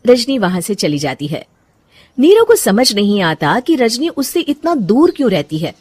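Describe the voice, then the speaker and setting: A woman narrates a scripted cartoon story. Her intonation is animated, moving widely up and down as she tells it. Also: on the high side, moderate pace.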